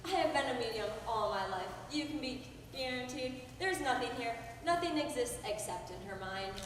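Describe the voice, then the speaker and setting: Lady acting a dramatic spirit medium, high voice, big echoey hall. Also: expressive, normal speed, background noise, lightly acted. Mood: assured. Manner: theatrical, solemn. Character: solemn spiritualist medium woman, self-assured. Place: stage in a large hall. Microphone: distant camera mic. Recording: echoey hall, distant mic.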